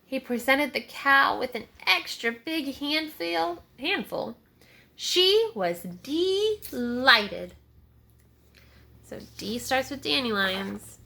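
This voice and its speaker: A woman's voice: sits high, animated, slow, vocal fry, pushed and bright. A woman teacher reading a picture book aloud to young children, checking in with questions as she narrates.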